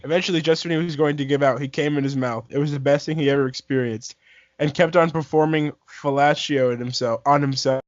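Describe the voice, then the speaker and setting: A young man with a slightly high voice reads aloud in a casual way. He trips over phrases and goes back to repeat them. The sound is dry and a bit muffled, like a lo-fi voice chat. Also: steady tone, fast, no echo. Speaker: amateur fan fiction reader. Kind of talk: casual read-aloud among friends.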